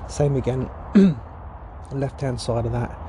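A man's voice: medium pitch, slow. Chatty man, noisy lakeside. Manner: chatty, rambling, full of fillers. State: voice going hoarse. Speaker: carp fishing vlogger. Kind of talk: casual vlog chat to camera. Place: outdoors by a lake. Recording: noisy outdoor recording.

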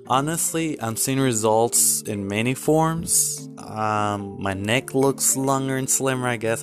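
A man in his twenties talking candidly in a casual vlog, rambling with many fillers and restarts. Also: on the low side. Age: 20s. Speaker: vlogger.

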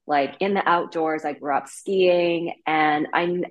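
A woman's voice: sits low, fairly even intonation, slow. A Canadian woman in her thirties, a guest sharing her life story in a candid, casual way with lots of fillers. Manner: candid, many fillers like "like" and "you know". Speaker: interview guest.

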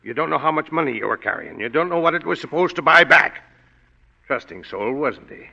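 Gruff sarcastic cop inspector, gravelly voice, old dull radio sound with a long hall echo. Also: male voice, fairly high pitch, sing-song, brisk, bright, forceful tone, exaggerated voice. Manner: sarcastic, blunt. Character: gruff hard-boiled male police inspector. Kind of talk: 1940s radio acting.

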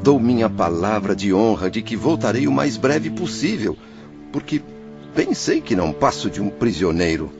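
A man's voice: lowish voice, big pitch swings, moderate pace, music in the background. An actor playing a confused, pleading male spirit, an anguished father, in a religious radio drama, speaking deferential, emotional Brazilian Portuguese on a dull recording.

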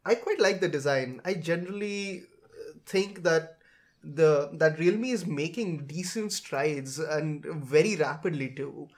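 A man's voice: a little high, unhurried. A man hosting a casual tech podcast, talking in an informal, conversational way. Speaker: podcast host.

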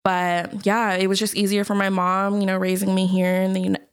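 A young woman from the United States co-hosts a podcast in an easygoing chat. She talks at a medium pitch, laughs often, and fills her sentences with "like" and "you know". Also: little pitch movement, a little fast, clean tone. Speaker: podcast co-host. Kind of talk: casual chat.

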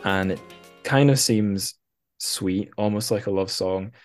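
Male podcast host, notably deep voice, chatty and informal, feels his way through sentences with self-corrections. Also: unhurried, soft background music. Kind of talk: casual interview chat.

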